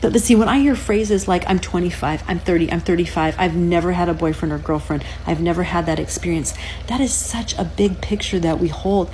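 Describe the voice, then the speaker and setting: Woman, mellow tone, earnest coach asking rhetorical questions. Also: lowish voice, brisk, slight background noise, rough recording. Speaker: relationship advice creator. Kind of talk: casual advice talk.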